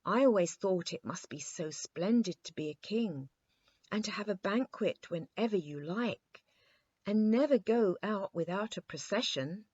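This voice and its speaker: A woman's voice: mid-range voice, animated, measured pace, a little mellow, husky, dry recording, lo-fi sound, voice pushed up. A woman voicing a spirited, frank, cheerful little girl in a children's storybook reading. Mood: wondering.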